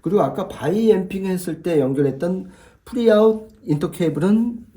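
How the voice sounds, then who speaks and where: A man's voice: high voice, expressive, normal speed, mellow. A man speaking as an audio expert, explaining things conversationally and step by step, often repeating points for clarity. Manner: didactic, explains step by step with repetition.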